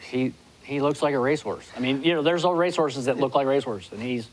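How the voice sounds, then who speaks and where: A man in his forties with a voice of ordinary pitch, appearing as a guest on a TV studio show and analyzing horse races. He speaks in quick bursts, restarting often and leaning on "you know", into a lapel mic. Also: a little hiss or hum, rough recording. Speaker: guest horse racing handicapper. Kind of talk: studio interview. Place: TV studio with city skyline backdrop.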